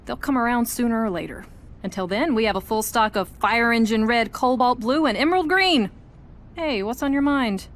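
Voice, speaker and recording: Wry, easygoing shop woman played by an actress, higher-pitched game character, light background noise. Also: moderate pace, close to an everyday voice. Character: easygoing, quirky woman running a paint shop. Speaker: paint shop owner character.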